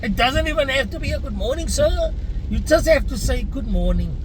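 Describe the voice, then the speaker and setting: High-voiced South African man ranting. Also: lively intonation, normal speed, a little hiss or hum, poor audio. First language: Afrikaans. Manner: earnest, chatty, addresses viewers as guys. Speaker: vlogger. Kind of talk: casual opinion rant to camera.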